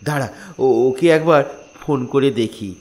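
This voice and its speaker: A man's voice: mid-range voice, moderate pace, darkish tone, close to an everyday voice. A man voicing an elderly father in a comedy cartoon, sentimental and theatrical, both scolding and doting. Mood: fatherly, proud. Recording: faint music behind.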